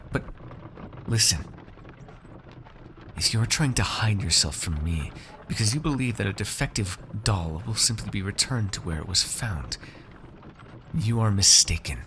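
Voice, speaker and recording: Haughty noble master , acted by a man, fantasy ASMR roleplay: lowish voice, measured and wordy condescension, some background noise. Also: expressive, a bit bright, low-quality recording. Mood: coaxing, wry. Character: haughty, shrewd nobleman master coaxing a frightened purchased mage servant.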